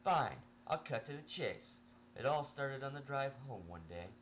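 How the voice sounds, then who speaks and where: A man's voice: mid-range voice, expressive, unhurried, fairly bright tone, voice pushed up. A man voicing a sarcastic, irritable male patient locked in an asylum, in an amateur story reading with background noise. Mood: sly.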